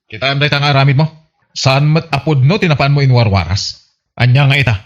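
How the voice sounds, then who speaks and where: A man's voice: mid-range voice, fairly quick, lightly acted. A man playing an exasperated, sarcastic, nagging husband in an Ilocano radio comedy, grumbling and scolding in an Ilocano Filipino accent. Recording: muffled lo-fi, faint music behind.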